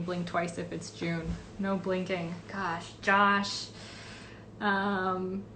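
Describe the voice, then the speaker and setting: A woman's voice: medium pitch, fairly bright tone, slight background noise. A young woman from Los Angeles, a voice actress casually answering fan questions on a live stream, reading comments aloud with lots of fillers.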